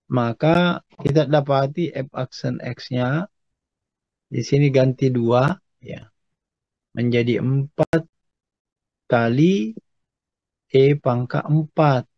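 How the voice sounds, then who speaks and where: A man giving a step-by-step maths lesson as a lecturer, patiently explanatory and often checking that students follow. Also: medium pitch. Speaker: mathematics lecturer. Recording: echoey room, dull muffled sound.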